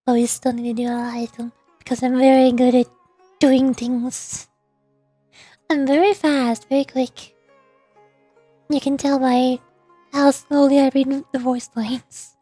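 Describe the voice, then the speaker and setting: A woman's voice: sits high, flat delivery, measured pace, pauses often, mellow, no room sound, faint music behind. A woman livestreamer chatting casually in a playful, sleepy manner. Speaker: VTuber streamer voice-acting game dialogue.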